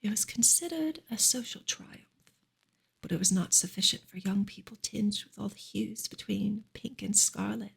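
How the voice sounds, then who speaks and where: A woman reading a book aloud as soft ASMR, breathy and often close to a whisper, with gentle, careful narration. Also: medium pitch, normal speed. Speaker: reader, ASMR creator.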